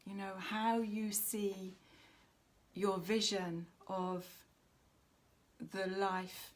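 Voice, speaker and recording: Slow-paced woman giving a spiritual reading. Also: mid-range voice, little pitch movement. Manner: measured, pausing often mid-sentence. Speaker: host of a spiritual card reading. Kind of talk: live spiritual oracle reading, addressing viewers.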